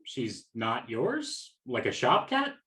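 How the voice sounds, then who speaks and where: A man's voice: mid-range voice, wildly swooping pitch, slow pace, pushed and bright, exaggerated voice. Man acting a flustered customer, echoey room. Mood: mild, tentative. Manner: hesitant, self-deprecating. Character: flustered, awkward, lovelorn male flower shop customer. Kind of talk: radio play acting. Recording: roomy, echoing sound.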